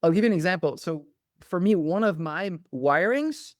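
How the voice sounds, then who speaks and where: A man's voice: high-pitched, lively intonation, normal speed, no echo, clean studio sound. A middle-aged man from Denmark, a podcast guest and coach speaking reflectively and animatedly, with lots of "like" fillers. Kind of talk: conversational interview.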